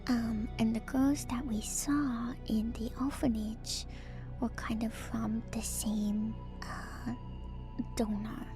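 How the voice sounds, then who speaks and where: A woman streamer whispering softly and breathily in an ASMR style, musing gently and hesitantly through game lore commentary close to the microphone. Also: a little high, steady tone, slow. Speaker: streamer, VTuber host. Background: music.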